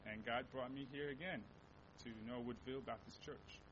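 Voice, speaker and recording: A young man from Congo with a Congolese accent, a native Lingala speaker, preaching a sermon as a missionary guest in a church and reading scripture in an earnest, halting way that often repeats and corrects itself. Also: steady tone, fairly bright tone. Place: church sanctuary. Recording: dull lo-fi recording with faint rumble.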